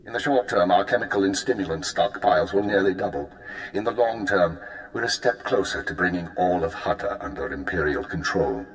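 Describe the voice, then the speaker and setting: An actor playing a formal, commanding intelligence handler who gives orders in measured lines, in a video game cut-scene. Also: male voice, deep voice, animated. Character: brisk senior male intelligence handler. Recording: roomy echo with faint noise.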